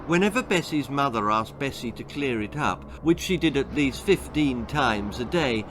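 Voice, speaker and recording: British man storytelling with very animated delivery. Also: mid-range voice, noisy background. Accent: British English. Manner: dramatic, relishing words, with deliberate pauses. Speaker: author reading own story. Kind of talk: theatrical children's storytelling.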